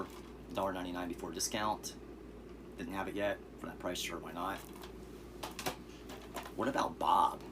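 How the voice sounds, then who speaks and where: Hoarse, deep-voiced man rambling about finds. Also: noisy background. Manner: relaxed, rambling, with fillers and asides. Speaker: vlogger showing thrift finds. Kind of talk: casual vlog.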